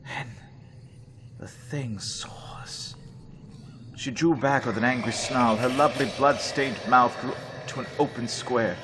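An actor playing a frightened young doctor who narrates his diary in a hushed, dread-filled storytelling manner. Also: medium pitch, a little slow, background noise, lightly acted. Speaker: radio drama actor. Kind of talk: old-time radio drama narration.

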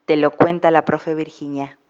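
A woman's voice: deep voice, level tone, normal speed, darkish tone, smooth, muffled recording. A woman with an Argentine accent presenting an educational audio lesson like a teacher, putting rhetorical questions straight to the listeners. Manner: didactic, addresses listeners directly.

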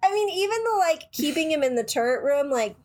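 A woman's voice: high-pitched, brisk, slight background noise. Woman with a nasal cold, chatting. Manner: swearing, animated, acts out quoted voices. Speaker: podcast co-host. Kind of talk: casual chat.

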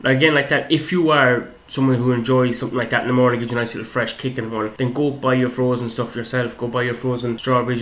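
A young man talks at a brisk pace, hosting his own fitness show. His voice is a little croaky from a cold. He rambles loosely and swears now and then in a casual way. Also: mid-range voice, a bit bright, a little hiss or hum. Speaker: fitness podcast host. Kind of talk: casual solo chat.

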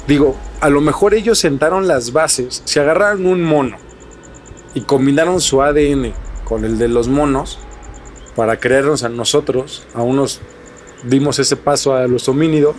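Higher-pitched Mexican man explaining on a podcast. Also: measured pace, slight background noise. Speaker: podcast host.

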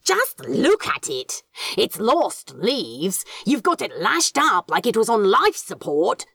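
A woman's voice: medium pitch, big pitch swings, slow pace, vocal fry, fairly bright tone, husky, no room sound, studio quality, voice pushed up, full character voice. A woman voicing an irritable, sarcastic male demon in an audiobook story, emphatic and scornful. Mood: exasperated. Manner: exasperated, emphatic, sardonic.

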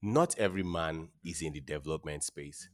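A man with a very low voice hosts an interview podcast. He asks his questions in a conversational style. The sound is dry, with hardly any room around it. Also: moderate pace, no room sound. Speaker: podcast host.

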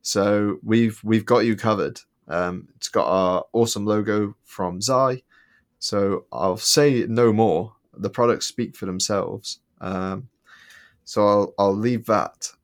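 A man's voice: on the low side, takes it slowly. A man co-hosting a podcast in casual chat, rambling with many ums and restarts and slipping in jokes. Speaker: podcast co-host.

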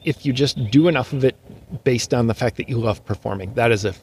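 A man who is a musician guest on a podcast, speaking in a thoughtful, measured way. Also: medium pitch, a little mellow, a little hiss or hum. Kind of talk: casual interview chat.

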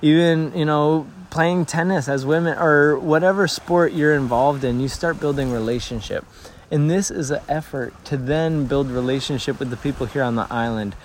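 Earnest missionary man with a higher voice. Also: normal speed, a little hiss or hum. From Tanzania. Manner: earnest, storytelling, many restarts. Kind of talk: conversational interview.

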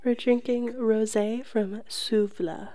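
A woman co-hosting a casual podcast in a soft, whispery ASMR voice, playful and conversational, close on the mic. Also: on the high side, steady tone, slow, no room sound. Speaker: podcast co-host.

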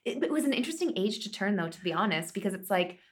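A woman in her early thirties with a mid-range voice co-hosts a podcast. She talks casually, wandering through her thoughts. She pauses and restarts often. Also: medium pitch, sing-song. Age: 30s. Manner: rambling, hesitant, lots of fillers. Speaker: podcast co-host. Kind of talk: casual chat.

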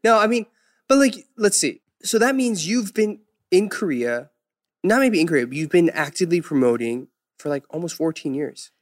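A woman hosting a podcast interview in a casual, eager way, reacting with many quick backchannels. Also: on the low side, animated, a little mellow. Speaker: podcast host.

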